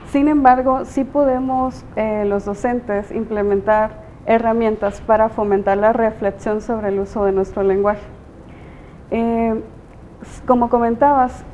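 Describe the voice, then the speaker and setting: A woman's voice: mid-range voice, mellow, lo-fi sound, a little hiss or hum. A woman teacher with a Mexican Spanish accent, answering interview questions in a thoughtful, explanatory way with frequent hesitations. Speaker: teacher and guest.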